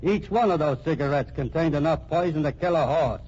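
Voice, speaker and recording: A man's voice: a little high, brisk, darkish tone, natural voice. Casting: male actor for short-fused American homicide captain, hard-boiled, accusing, old radio style, muffled recording. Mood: accusing, sure. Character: tough, blunt, short-tempered police homicide captain. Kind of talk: old-time radio crime drama acting.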